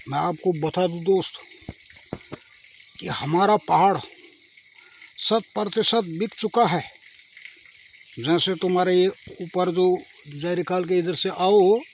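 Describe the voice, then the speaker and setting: A man's voice: sits high, slight background noise, rough recording. A man from Garhwal speaking with a tinge, talking earnestly to his viewers as friends while outdoors in the hills. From Garhwal, Uttarakhand. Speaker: vlogger. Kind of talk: singing then casual vlog talk.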